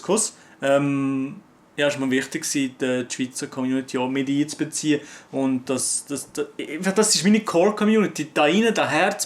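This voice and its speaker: A young man from Switzerland with a Swiss accent, chatting casually with viewers and answering their comments in loose, slangy talk full of fillers. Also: on the high side. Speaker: YouTuber.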